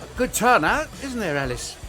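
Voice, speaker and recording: A man's voice: sits high, big pitch swings, moderate pace, exaggerated voice. A man playing a genial, teasing townsman in an audio drama. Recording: loud music behind voice.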